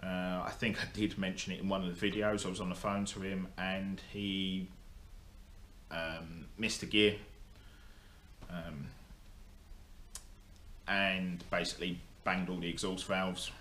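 A young man talks straight to a camera in his workshop, blunt and rambling, with plenty of ums and false starts. His voice sits very low and he takes his time. The room rings with echo over a faint hum. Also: little pitch movement, a little slow, long pauses, fairly bright tone. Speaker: motorsport vlogger. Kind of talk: casual update to camera. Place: indoor workshop. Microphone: camera in front of him.

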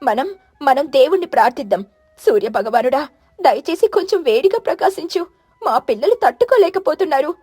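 A woman's voice: high-pitched, animated, brisk, a little mellow, no room sound. A woman voicing a timid, anxious mother pigeon in a children's cartoon story, fearful and hesitant. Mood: anxious, pleading. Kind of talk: animated children's moral story.

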